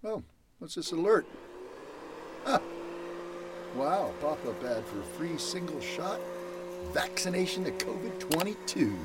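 A man's voice: high voice, expressive, a little slow, long pauses. Man acting a grumbling loner, very noisy recording. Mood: curious, pleased. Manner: muttering to himself, exasperated, sweary. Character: weary, jumpy, grumbling man living alone with gadgets. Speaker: actor. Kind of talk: old-time radio style horror audio drama monologue. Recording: loud background noise.

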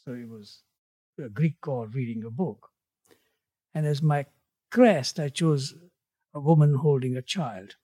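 A man in his eighties from Tanzania, a guest in an interview, speaking in a measured way with his voice falling away at the ends of phrases. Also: medium pitch, mellow, slightly rough, no echo. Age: 80s. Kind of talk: reflective interview conversation.

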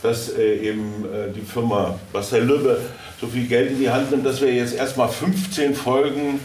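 Halting man in an echoey room. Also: mid-range voice, fairly even intonation, darkish tone. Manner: halting, searching for words with repeated words. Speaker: audio drama producer on a convention panel. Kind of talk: spontaneous panel talk. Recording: echoey room, distant mic, faint noise.

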